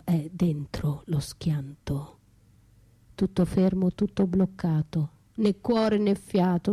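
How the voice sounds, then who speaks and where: A woman's voice: lowish voice, warm tone, slightly rough, exaggerated voice. An actress playing a battered, trapped, desperate woman in a theatrical radio monologue, speaking in poetic fragments and repeated words that lapse into whispers. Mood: anguished, lyrical.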